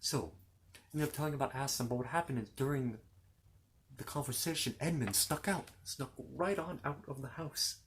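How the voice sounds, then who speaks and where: A man's voice: mid-range voice, normal speed, a little hiss or hum. A man telling a children's fantasy story in an improvised ASMR style, rambling along with asides and repeated phrases.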